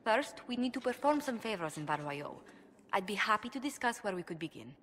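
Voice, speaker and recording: A woman's voice: medium pitch, sing-song, brisk, fairly bright tone, slight background noise. An actress playing a refined, earnest noblewoman diplomat in a fantasy game cutscene, polite, formal and articulate. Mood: earnest, diplomatic. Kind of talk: scripted video game cutscene acting. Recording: long hall reverb, faint background ambience.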